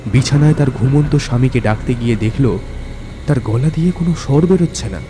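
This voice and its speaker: A man's voice: mid-range voice, brisk, background noise. A man narrating a horror story in a dramatic, scripted storytelling style. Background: music.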